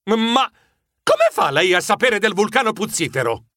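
An actor playing a timid, excitable mouse who narrates a children's story in a fretful, theatrical manner. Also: male voice, high-pitched, normal speed, no room sound, studio quality, full character voice. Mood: alarmed, dramatic. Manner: animated, fretful, dramatic.

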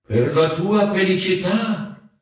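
A man voicing a gentle, mysterious childlike ghost with a soft, fluting voice that seems to come from far away, drawn through an echo effect. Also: sits high, pitched above the performer's own voice. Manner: slow, calm, enigmatic.

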